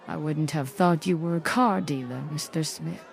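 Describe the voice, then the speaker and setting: A woman's voice: sits low, normal speed, gravelly, faint music behind. Young lady detective in a game, dry and sardonic, polite but guarded, faint crowd behind. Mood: politely firm. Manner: dry, wry, formally polite. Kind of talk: video game dialogue and narration.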